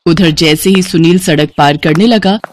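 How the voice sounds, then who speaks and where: Lowish-voiced woman narrating a story. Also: moderate pace, music in the background. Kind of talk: scripted cartoon story narration.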